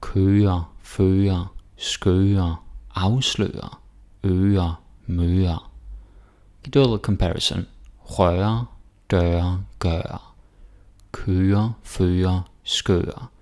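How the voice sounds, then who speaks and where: A man with a Danish accent teaching pronunciation in a casual way, repeating words slowly for learners. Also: sits low, steady tone, warm tone. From Denmark. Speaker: language teacher. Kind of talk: pronunciation lesson.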